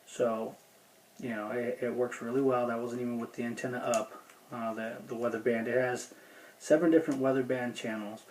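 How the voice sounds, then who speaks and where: Male gear reviewer, casual and folksy, mid-pitched with little inflection, some background noise. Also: steady tone, normal speed, a little mellow, rough recording. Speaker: gear review video host. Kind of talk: casual unscripted product review.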